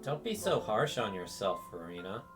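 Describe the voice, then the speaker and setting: A man's voice: fairly low pitch, very animated, moderate pace, over music. A man streaming a casual reaction to a game, speaking hesitantly with wry sympathy. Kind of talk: casual game reaction commentary, reading along.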